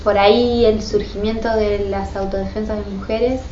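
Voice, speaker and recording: A woman, interviewing in a relaxed, conversational way. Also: mid-range voice, normal speed. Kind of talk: casual interview. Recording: echoey, dull and noisy room recording.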